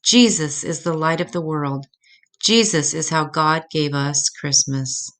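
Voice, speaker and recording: A woman voicing a gentle, patient mother bear who teaches tenderly and reassuringly in a children's storybook. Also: deep voice, a little slow.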